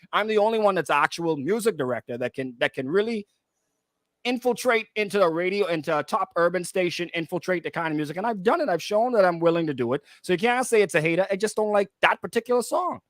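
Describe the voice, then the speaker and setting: A man's voice: high voice, animated, fast talker, a bit bright, dry recording, clean studio sound. A Bahamian man hosting a casual radio discussion, emphatic and repeating himself for emphasis, often checking in with "right?". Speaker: radio host and music director. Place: radio studio.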